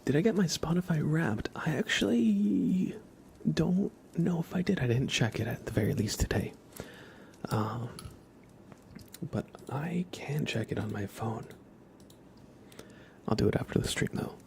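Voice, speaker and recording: A man hosting an ASMR livestream, chatting softly and casually in a hushed, unhurried way that often slips into whispering. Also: on the high side, expressive, creaky, a little hiss or hum. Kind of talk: soft ASMR livestream chat.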